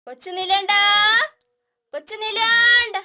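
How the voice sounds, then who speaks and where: A woman's voice: very high voice, fairly even intonation, a little slow, pushed and bright, no room sound, full character voice. A woman voicing a fussy, worried mother elephant in a children's cartoon, calling out and scolding. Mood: anxious calling.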